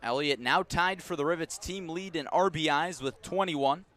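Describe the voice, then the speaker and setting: An American man doing live radio play-by-play of a baseball game in a measured, descriptive style. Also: on the high side. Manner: measured, descriptive calls that rise to excitement on big plays. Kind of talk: live radio sports commentary.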